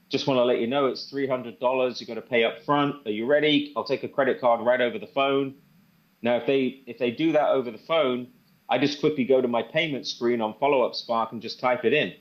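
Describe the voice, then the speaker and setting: A man giving an informal product demo and sales pitch, conversational and repeating phrases for emphasis. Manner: conversational, repeats phrases for emphasis, acts out sample phone calls. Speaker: presenter demonstrating software to a group. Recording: dull, band-limited sound.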